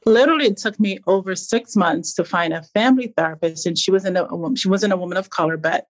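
Low-voiced woman from Barbados, candid. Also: fast talker, no echo. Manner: candid, reflective, many fillers and restarts. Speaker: psychologist and startup founder. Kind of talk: conversational interview answer.

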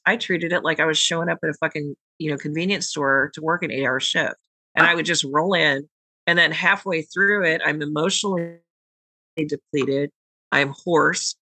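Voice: mid-range voice, sharp tone, no echo, poor audio. A podcast host and tarot reader talking casually and frankly, swearing now and then and rambling a little.

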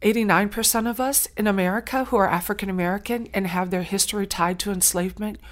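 A woman's voice: medium pitch, fairly even intonation. A woman from Saint Helena Island whose first language is Gullah, telling her story earnestly as an interview guest. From Saint Helena Island, South Carolina. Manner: earnest, heartfelt, with frequent pauses and restarts.

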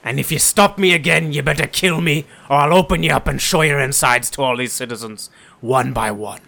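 A man voicing a huge, menacing male pirate captain who drawls his threats in a gruff pirate manner. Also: mid-range voice, brisk, sharp tone.

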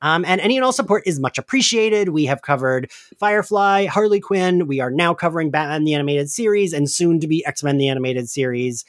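A man's voice: fairly high pitch, expressive, fairly quick, few pauses, no room sound, clean studio sound. A man hosting a podcast, chatting casually and informally with plenty of "like" in his talk. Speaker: podcast host. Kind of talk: casual chat about an audio drama.

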